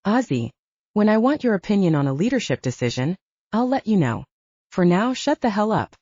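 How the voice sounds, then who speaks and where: A woman's voice: medium pitch, big pitch swings, brisk, darkish tone, no room sound, muffled recording. A woman voicing a stern, short-tempered male leader of a werewolf military team in an audiobook, with a curt, commanding manner. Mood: stern, angry.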